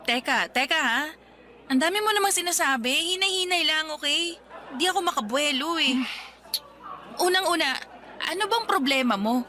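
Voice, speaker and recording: An actress playing a feisty, expressive young Filipino woman, a girlfriend and daughter, in a Tagalog radio drama. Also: sits high, normal speed, long pauses. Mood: indignant.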